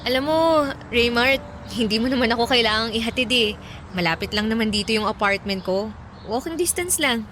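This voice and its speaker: Radio drama lead played by a woman: trusting young Filipina band member, easygoing temper, fairly high, bright tone. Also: brisk, faint music behind, close to an everyday voice. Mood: friendly, curious. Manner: lighthearted, gently chiding. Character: friendly, trusting young female singer in a band.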